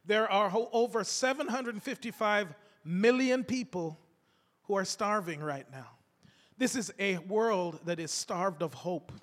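Pastor guy with a cold, gravelly and kinda high, preaching in a big echoey church. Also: a little slow, a bit bright. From United States. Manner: fervent, calls out to the congregation. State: has a cold. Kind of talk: sermon. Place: church sanctuary. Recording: echoey hall reverb.

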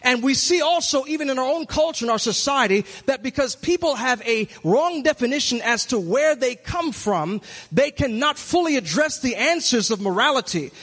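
Michigan-raised male preacher, higher register, earnest and building, big echoing hall. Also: animated, normal speed, a bit bright. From Michigan, USA. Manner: earnest, reflective, builds to emphatic. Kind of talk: sermon-style monologue. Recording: long hall reverb.

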